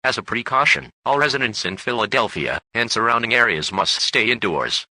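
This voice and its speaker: A synthetic male voice reads formal emergency warnings. It is medium in pitch, bright and forceful, with a completely dry sound. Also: lively intonation, fairly quick, no room sound, rough recording. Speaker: emergency alert announcer. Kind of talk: formal scripted emergency alert.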